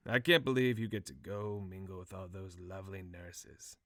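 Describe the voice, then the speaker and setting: Low-voiced wry old gentleman man acting. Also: moderate pace, dry recording, clean studio sound, natural voice. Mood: wry banter. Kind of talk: audio drama murder mystery acting.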